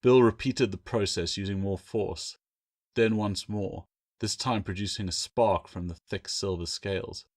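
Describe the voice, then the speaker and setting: Low-voiced man narrating, close and dry. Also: steady tone, a little slow, no room sound. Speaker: author reading own novel. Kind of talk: scripted audiobook narration of a fantasy story.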